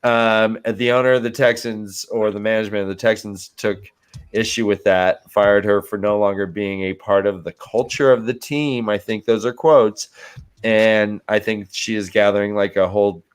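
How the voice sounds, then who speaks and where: A man's voice: on the low side, steady tone, moderate pace, smooth. A man talking casually on a sports panel show, informal and self-deprecating. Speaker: fantasy football show panelist.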